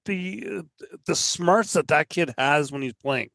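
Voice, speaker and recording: A man's voice: a little high, a little slow, fairly bright tone, no echo, studio quality. A man from Canada chatting casually about sports as a podcast guest, rambling, repeating himself and checking in with tag questions.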